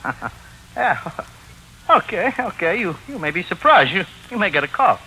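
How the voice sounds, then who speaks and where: A man's voice: high-pitched, sing-song, moderate pace, bright, forceful tone, full character voice, low-quality recording. A man playing a fat, nervous, shifty club owner in 1940s radio style, stammering and evasive. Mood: nervous, placating. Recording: hissy old 1940s radio recording.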